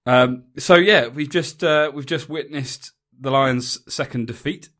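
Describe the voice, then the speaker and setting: A man's voice: medium pitch, unhurried, a bit bright. An English man hosting a rugby podcast, chatting casually and rambling with frequent restarts and ums. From England. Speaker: podcast host. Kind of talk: casual chat.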